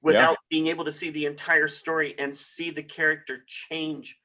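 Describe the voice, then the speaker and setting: A man invited from the audience lays out his view at a measured, explanatory pace. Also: a little high, level tone, sharp tone. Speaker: audience member invited to speak. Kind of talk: casual panel discussion.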